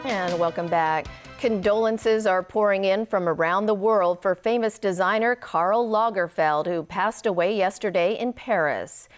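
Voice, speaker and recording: A woman's voice: medium pitch, a little slow, hardly any pauses, faint music behind. A woman reading scripted news as a studio anchor.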